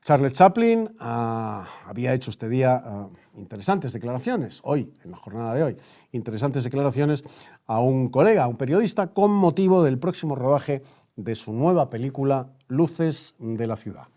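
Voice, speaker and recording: Mellow Spanish man reading radio news. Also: medium pitch, lively intonation, moderate pace. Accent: Spain. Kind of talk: news bulletin in the manner of a 1930s radio newscast.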